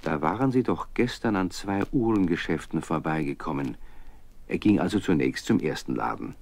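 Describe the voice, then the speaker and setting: A man narrating a children's radio play as a calm, measured storyteller. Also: low-pitched, no room sound.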